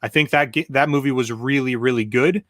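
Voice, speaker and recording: A man co-hosting a gaming podcast, relaxed and thinking aloud as he talks. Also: medium pitch, brisk, dry recording, clean studio sound. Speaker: co-host. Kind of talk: casual chat.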